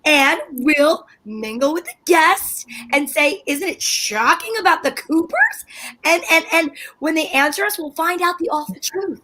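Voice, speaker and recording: A woman acting a high-voiced, bossy madcap radio wife. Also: animated, unhurried, bright, forceful tone, exaggerated voice, poor audio. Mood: eager scheming. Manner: exclamatory, wheedling, theatrical. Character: Liz, bossy scheming madcap wife, bluffing confidently. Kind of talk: old-time radio comedy acting.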